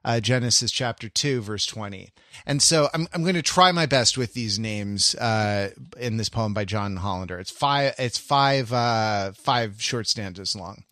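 Halting, bookish man, close dry sound. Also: on the low side, bright, forceful tone, dry recording. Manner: halting, searching, bookish digressions. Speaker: podcast co-host. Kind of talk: casual intellectual discussion.

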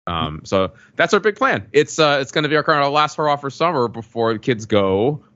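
A man's voice: medium pitch, big pitch swings, fairly quick, fairly bright tone, lo-fi sound. A middle-aged American man chatting casually as a podcast co-host.

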